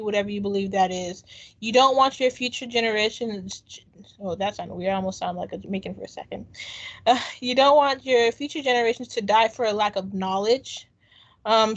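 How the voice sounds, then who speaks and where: A woman co-hosting a casual chat, earnest and reflective, often pausing with um. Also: on the high side, muffled recording. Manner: earnest, reflective, many ums. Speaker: podcast co-host.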